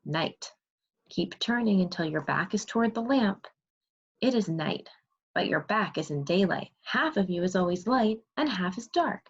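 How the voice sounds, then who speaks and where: A woman's voice: mid-range voice, lively intonation, moderate pace, slightly rough. A woman teacher reading aloud and explaining to young children, asking questions and praising pupils as she goes. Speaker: second grade teacher. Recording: dull lo-fi sound.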